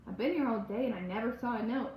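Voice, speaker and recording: Woman playing a sweet, coaxing, high-voiced mom. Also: fast talker, lo-fi sound, close to an everyday voice. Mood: weary, puzzled. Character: weary but sweet, indulgent mother of young children. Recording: roomy echo, faint background noise.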